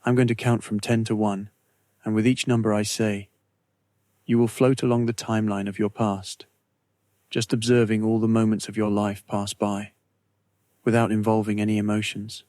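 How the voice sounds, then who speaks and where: Low, even-toned male meditation guide. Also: no echo. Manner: slow, measured, soothing delivery with long pauses. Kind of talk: guided meditation narration, scripted.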